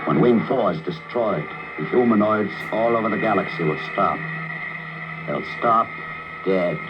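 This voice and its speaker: An actor playing a weary, bitter older inventor, desperate and obsessive, in 1950s radio drama style. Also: male voice, on the low side, unhurried, natural voice. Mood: intent, urgent. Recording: muffled, noisy 1950 radio broadcast.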